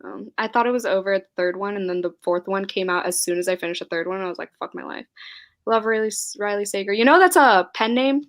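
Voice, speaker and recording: A woman's voice: mid-range voice, quick pace. A young woman hosting a casual live-stream book chat, giggly and scattered, reading viewer comments aloud. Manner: giggly, scattered, laughs often.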